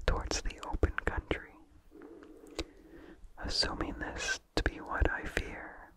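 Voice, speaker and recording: A narrator reading vintage horror fiction aloud in a breathy, unvoiced ASMR whisper, with slow, deliberate, measured phrasing. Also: poor audio.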